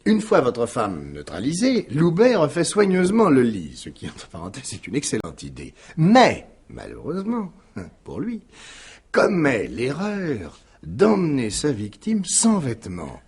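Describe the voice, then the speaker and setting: A man's voice: mid-range voice, wildly swooping pitch, a little mellow, breathy edge, heavily acted. An actor playing a wily, smug police commissioner in a radio mystery, needling his suspect in a theatrical, insinuating manner. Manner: theatrical, insinuating, drawn-out phrasing with rhetorical tags. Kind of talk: 1950s French radio drama acting.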